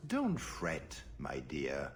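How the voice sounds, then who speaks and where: A man's voice: very deep voice, huge pitch swings, very slow, gravelly, faint music behind, full character voice. A man playing a smug, scheming aristocratic duke in a video game cutscene, condescending and theatrical. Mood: smug menace.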